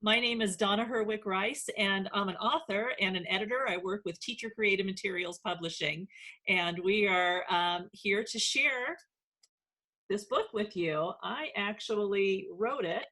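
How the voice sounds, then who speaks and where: A woman's voice: mid-range voice, unhurried, bright, forceful tone. A woman hosting and narrating a children's read-aloud as its author.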